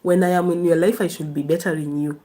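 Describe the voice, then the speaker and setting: Chatty woman, mellow voice. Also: lowish voice, fairly even intonation, quick pace. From Kenya. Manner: chatty, laughs often, answers viewers by name. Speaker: live stream host. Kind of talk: casual live chat reading viewer comments.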